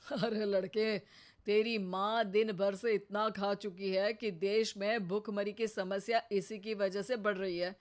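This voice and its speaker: A woman's voice: mid-range voice, flat delivery, a little slow, lightly acted. A woman voicing a teasing, sarcastic elderly father-in-law in a comic cartoon, with a wry, mocking manner.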